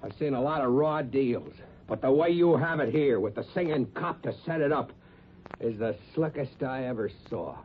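An actor playing a cocky, excitable artist and gambler in a 1950s radio drama. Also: male voice, medium pitch, normal speed, natural voice. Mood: bitter. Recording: muffled 1950s radio, slight noise.

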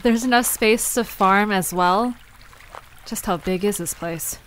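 A woman's voice: medium pitch, a little slow, fairly bright tone, a little hiss or hum, close to an everyday voice. A woman playing a curious, sensible female adventurer in an audio drama, speaking in an earnest, conversational way. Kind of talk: audio drama acting, natural dialogue.

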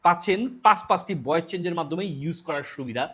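A man with a Bangladeshi accent giving a promotional sales pitch as a product presenter for an online shop. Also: very fast, bright, forceful tone, breathy edge.

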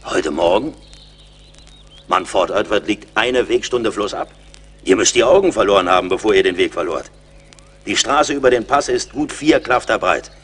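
An actor playing a seasoned, blunt and mocking frontier scout in a radio play, with a wry, commanding manner. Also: male voice, lowish voice, expressive, normal speed, a bit bright, slight background noise. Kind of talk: German radio play acting.

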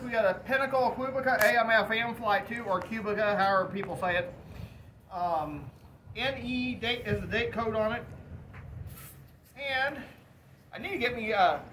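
A man's voice: extremely high pitch, moderate pace, pushed and bright. A young man presenting a casual video as its host, recorded outdoors under an open pavilion from a camera a few metres away. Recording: far from mic, noisy open-air recording.

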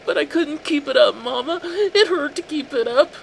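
A man voicing a deranged young male killer who cut himself a permanent smile, talking in a shrill, singsong, unhinged way. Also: moderate pace, creaky, a little hiss or hum. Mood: eerie delight.

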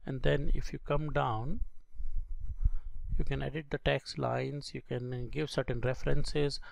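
A man giving a step-by-step software tutorial as an instructor, speaking in a halting, explanatory way into a close microphone. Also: mid-range voice, level tone, gravelly, poor audio. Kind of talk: screen-recorded software tutorial.

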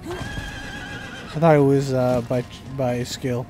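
Slow-talking male gamer over music. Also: mid-range voice, wildly swooping pitch, mellow. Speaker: gamer playing co-op. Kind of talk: casual gaming chat.

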